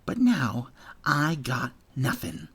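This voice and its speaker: A man's voice: medium pitch, sing-song, takes it slowly, fairly bright tone, breathy edge, pitched above the performer's own voice, full character voice. A man reading an audiobook, voicing a sneering, menacing bully boy who taunts his victim. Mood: taunting. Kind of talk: audiobook character voice.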